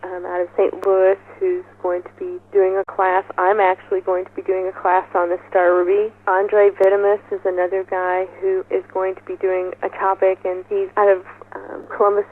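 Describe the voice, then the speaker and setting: A woman speaking as an event organizer in an informal interview, explaining details in an upbeat, enthusiastic way over a muffled telephone line. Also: mid-range voice. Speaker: festival organizer being interviewed.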